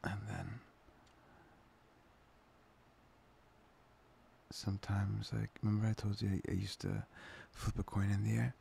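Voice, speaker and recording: Gravelly, soft-spoken boyfriend played by a man. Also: on the low side, flat delivery, brisk, a little hiss or hum. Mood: musing, playful. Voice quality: breathy, often whispering. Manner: hesitant, intimate, soft-spoken. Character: playful, tender, affectionate young boyfriend. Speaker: ASMR voice performer. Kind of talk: boyfriend roleplay ASMR.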